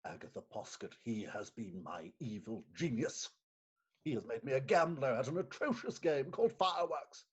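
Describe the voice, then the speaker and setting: Man playing a pompous husband, swooping and husky. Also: a little high. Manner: pompous, aggrieved, theatrical. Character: Aeneas Posket, pompous, wronged middle-aged Victorian magistrate husband. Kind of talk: comic Victorian stage play reading.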